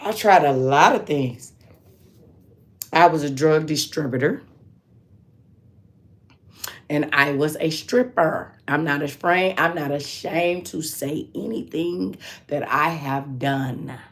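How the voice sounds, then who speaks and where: A raspy-voiced woman hosting a live stream, talking bluntly and preachily. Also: low-pitched, slow, long pauses. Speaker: livestream host.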